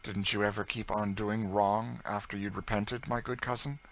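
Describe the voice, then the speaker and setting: A witty, idle young gentleman talks with lazy irony, as a man reads him aloud. The voice is bright and clear-edged, mostly in a relaxed register. He teases and lectures in the same easy drawl. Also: fairly low pitch, normal speed. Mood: teasing. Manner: bantering, ironic, then impassioned. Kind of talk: audiobook character voice.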